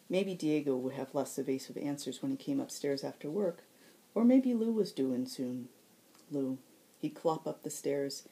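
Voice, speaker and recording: A woman's voice: sits low, warm tone, poor audio. A woman reading her novel aloud as scripted audiobook narration. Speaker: author reading own novel.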